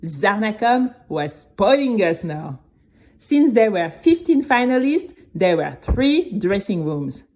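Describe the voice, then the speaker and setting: A woman as a chatty young girl, unhurried storyteller. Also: medium pitch. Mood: excited. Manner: conversational, expressive storytelling with exclamations. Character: Bénie, nervous, chatty young girl singing contestant. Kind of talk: first-person scripted audio drama narration.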